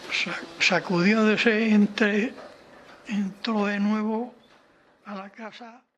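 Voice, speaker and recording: A woman giving halting amateur narration for a homemade radio play. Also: mid-range voice, fairly even intonation, fairly bright tone, a little hiss or hum. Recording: muffled homemade recording.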